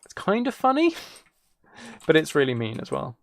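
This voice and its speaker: A man's voice: a little high, huge pitch swings, moderate pace, creaky, no echo. A man chatting casually while he plays a game, thinking aloud in a rambling, playfully self-mocking way. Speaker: streamer.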